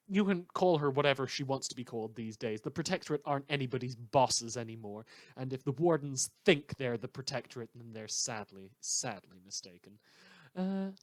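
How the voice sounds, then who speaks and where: A performer playing a mild, earnest radio host in a fan audio drama, talking to listeners in a hesitant, rambling way with hums and pauses. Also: mid-range voice, dry recording, natural voice.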